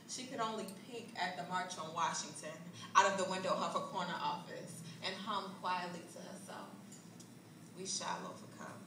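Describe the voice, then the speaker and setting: A woman performing a spoken word poem live on a club stage, rhythmic and building from hushed lines to loud declamation. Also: medium pitch, background noise. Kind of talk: slam poetry performance. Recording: echoey club recorded from far off.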